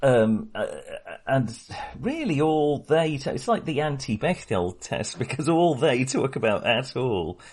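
A man's voice: medium pitch, sing-song, measured pace, few pauses, a little mellow, breathy edge. A man co-hosting a film podcast in casual discussion, talking hesitantly, often interrupting himself and rambling.

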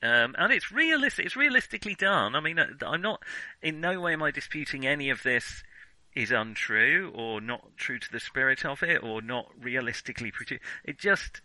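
A man in a casual film discussion, talking in a halting way and often correcting himself mid-sentence. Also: mid-range voice, expressive, pushed and bright, dry recording, low-quality recording. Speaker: film podcast co-host.

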